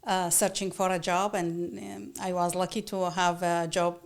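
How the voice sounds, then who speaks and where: A woman from Iraq, telling her story as a podcast guest in a halting, soft-spoken way with many fillers. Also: lowish voice, little pitch movement, gravelly. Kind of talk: personal story in an interview.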